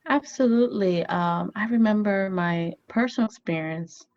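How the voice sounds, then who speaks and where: A woman's voice: mid-range voice, moderate pace, no echo, muffled recording. A woman in her thirties whose first language is Haitian Creole, a family therapist telling stories as an interview guest with lots of "you know" fillers. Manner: animated storyteller, many "you know" fillers.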